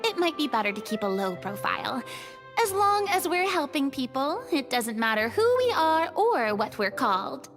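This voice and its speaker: An earnest, cautious young adventurer in an anime game. The voice is high, with long reverb and clear music behind it. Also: female voice, big pitch swings, moderate pace. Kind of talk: anime video game voice acting.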